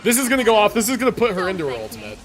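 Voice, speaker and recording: High-pitched, animated male game streamer. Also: racing, faint music behind. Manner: slangy, swearing, thinks out loud. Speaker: game livestreamer. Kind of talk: casual gaming stream commentary.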